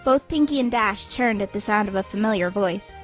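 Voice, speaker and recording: A woman's voice: medium pitch, brisk, faint music behind. A woman reading scripted story narration as the narrator of an audio drama.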